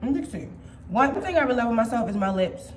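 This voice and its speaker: A woman's voice: on the high side, sing-song, quick pace, echoey room, noisy background. A woman in her twenties chatting casually and playfully to her viewers as a vlogger, swearing freely. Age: 20s. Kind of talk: casual chat.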